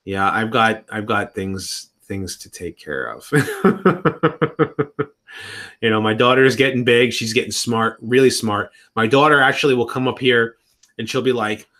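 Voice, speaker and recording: A man's voice: mid-range voice, a little slow, fairly bright tone. Rambling man in his thirties. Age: 30s. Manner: rambling, restarts sentences. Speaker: live streamer. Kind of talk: casual live-stream chat.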